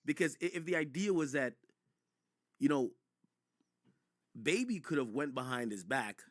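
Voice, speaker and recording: A man's voice: mid-range voice, animated, a little slow, no echo, studio quality. A man giving emphatic, opinionated, profanity-laced live commentary as a streamer talking to his chat. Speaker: streamer commenting on rap news; record label owner.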